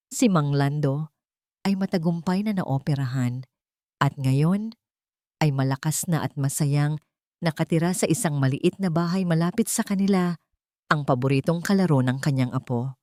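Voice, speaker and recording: A woman's voice: sits low, a little mellow, no room sound, studio quality. A woman narrating a scripted romantic story in a sentimental, dramatic way.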